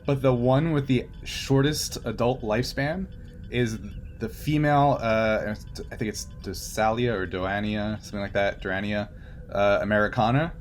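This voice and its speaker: A man's voice: fairly low pitch, normal speed, soft background music. A man running a tabletop game as game master, narrating in a casual, improvised, conversational way. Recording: faint background noise.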